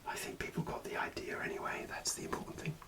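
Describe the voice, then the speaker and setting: A man whispering an ASMR show-and-tell in a soft, unvoiced whisper, hesitant and full of small fillers, partly from inside a costume helmet. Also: fast talker. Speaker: ASMR creator.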